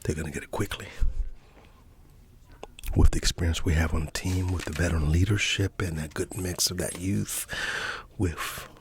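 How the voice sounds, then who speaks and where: A man giving a soft-spoken, partly whispered ASMR ramble in a folksy, halting, repetitive way. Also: deep voice, fairly even intonation, a little hiss or hum. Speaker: ASMR host.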